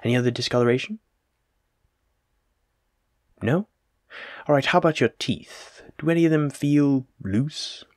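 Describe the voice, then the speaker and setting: A man's voice: mid-range voice, unhurried, long pauses, dry recording, studio quality, close to an everyday voice. A man playing a courteous, evasive, self-important plague doctor in an audio roleplay, speaking formally and fussily in old-fashioned wording. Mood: clinical, courteous. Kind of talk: hobby audio roleplay acting, addressed to the listener.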